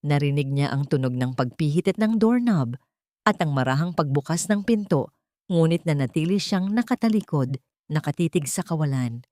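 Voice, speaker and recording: A woman's voice: sits low, lively intonation, moderate pace, a little mellow, no room sound. A woman narrating a dramatic scripted audio story.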